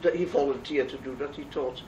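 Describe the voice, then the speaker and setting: An older woman from the Netherlands, speaking English with a Dutch accent, recalls her past in an oral history interview, pausing often with fillers. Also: very deep voice, warm tone, reverberant, muffled recording. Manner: halting, many pauses and fillers. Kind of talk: reminiscing conversation. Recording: distant mic, faint noise.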